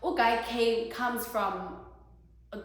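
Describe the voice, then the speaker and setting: Young South African woman host, expressive and chatty, higher voice, very echoey room. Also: takes it slowly. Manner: expressive, laughs often, mixes in isiXhosa words. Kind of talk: casual chat.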